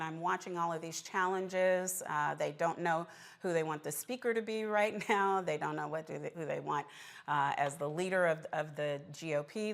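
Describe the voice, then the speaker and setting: A woman's voice: on the low side, creaky. A woman hosting a political talk show, putting challenging, persistent questions to a guest.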